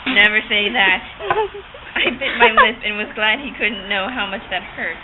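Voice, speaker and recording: A woman's voice: mid-range voice, normal speed. Theatrical woman reading through tinny, noisy audio. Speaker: amateur reader. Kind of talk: mock-dramatic reading of a novel. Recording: tinny, band-limited, noisy amateur recording.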